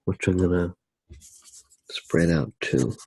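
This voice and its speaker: A soft-spoken man narrating his drawing in an ASMR style, unhurried and halting, with long pauses. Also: low-pitched, vocal fry, slight background noise. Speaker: ASMR artist demonstrating a drawing.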